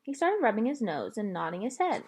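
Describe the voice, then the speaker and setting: A woman reads a children's story aloud. Her delivery is expressive, with pitch that moves in a lively way through the narration. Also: brisk, breathy edge. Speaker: reader of a children's novel. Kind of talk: read-aloud storytelling with voiced dialogue.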